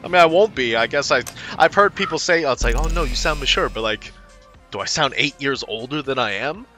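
Twenties male streamer, second-language English, animated swinging delivery, frequent laughs and cursing, music running underneath. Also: mid-range voice, brisk. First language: not English. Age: 20s. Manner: swears a lot, laughs often, reacts to chat aloud. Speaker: game streamer. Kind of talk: casual live-stream chat while gaming. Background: background music.

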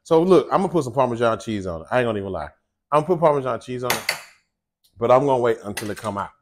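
A man in his forties hosting a casual home cooking show from his kitchen, talking straight to viewers in an animated, chatty way. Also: mid-range voice, very fast, noisy background. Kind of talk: casual cooking chat and monologue.

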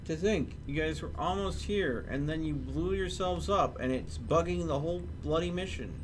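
A man in his thirties chats while streaming a game. His voice sits a bit high and his delivery is sarcastic and long-winded. Vehicle noise from the game runs clearly behind him. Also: moderate pace, poor audio. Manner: exasperated, sarcastic, wordy. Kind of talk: casual chat while gaming with friends. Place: indoor room at home. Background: video game vehicle and ambience noise.

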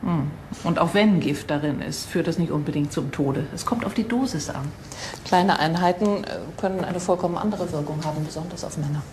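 A woman plays a composed forensic expert in a crime radio drama. Her voice is fairly low and she explains in a knowing, drily teasing way. There is faint background noise. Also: brisk, a little mellow, natural voice. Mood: knowing, wry.

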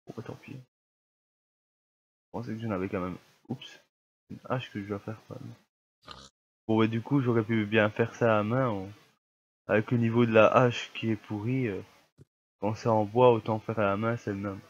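A man's voice: fairly low pitch, steady tone, moderate pace, pauses often, highs cut off. A young man with a cold talking through his gameplay in casual, grumbling commentary, with a slightly stuffy voice. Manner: grumbling, easily annoyed at lag. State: has a cold, coughing. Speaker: gamer.

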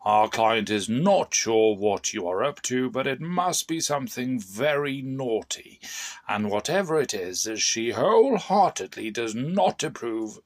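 A man's voice: medium pitch, voice pushed up. Man playing a pompous, stuffy solicitor. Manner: pompous, formal. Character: stuffy, pompous solicitor reading a stern complaint.

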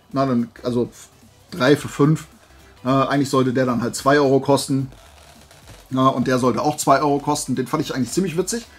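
Slightly husky, higher-voiced man chatting casually. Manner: rambling, hesitant, self-correcting. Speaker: YouTube collector showing flea market finds. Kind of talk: casual haul vlog chat.

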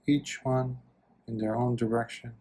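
A man leading a guided meditation as a monk, speaking gently and soothingly in short phrases with long pauses. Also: mid-range voice, level tone, slightly rough.

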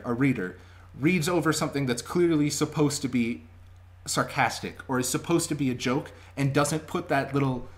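Enthusiastic young man giving a casual review. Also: mid-range voice. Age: young adult. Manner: enthusiastic, chatty, emphatic. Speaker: vlogger reviewing audiobooks. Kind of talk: casual spoken review to camera. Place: small indoor room at home. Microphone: webcam microphone at arm's length.

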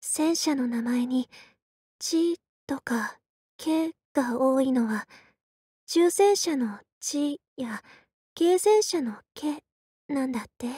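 Quiet bookish soldier girl from a game, high voice, deadpan n halting, dry close recording. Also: dry recording. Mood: quiet, reserved. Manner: soft-spoken, deadpan, halting short phrases. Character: quiet, reserved young female soldier, bookish and injury-prone. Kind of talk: Japanese game character voice lines.

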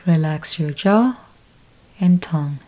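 A woman leading a guided yoga nidra meditation, giving calm step-by-step instructions with long pauses. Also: sits low.

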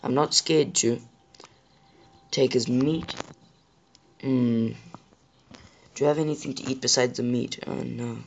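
A man with a sore throat hosts a gaming channel, talking over his play at a middle pitch. His voice sounds a bit rough, and he chats casually and apologetically to his viewers. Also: steady tone, slow pace, pauses often, highs cut off, slight background noise. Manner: chatty, apologetic, addresses viewers directly. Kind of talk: casual gameplay commentary.

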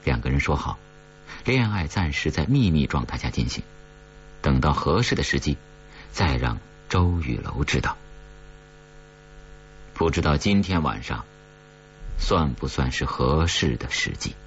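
Low-pitched male audiobook narrator, expressive. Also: measured pace, long pauses. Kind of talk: dramatic scripted story narration. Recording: dull recording with faint hum.

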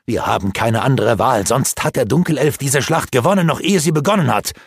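A man's voice: mid-range voice, animated, brisk, husky, dry recording, studio quality. A man voicing a stern, resolute older male elf wizard who speaks curtly and commands. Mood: grave. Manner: curt and commanding.

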